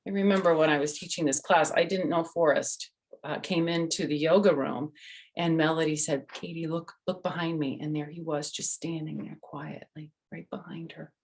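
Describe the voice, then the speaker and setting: A woman's voice: low-pitched, steady tone, normal speed, husky. An older woman reading a children's picture book aloud, speaking to young listeners and adding chatty personal asides.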